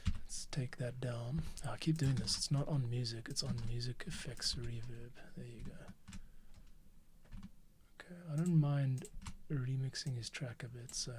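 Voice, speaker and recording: A man's voice: medium pitch, a little slow, a crowd in the background. A man talking casually to himself while he edits a podcast, often dropping to a half-whisper. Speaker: podcast editor. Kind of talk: casual screen-recording commentary while editing. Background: music playing and keyboard typing.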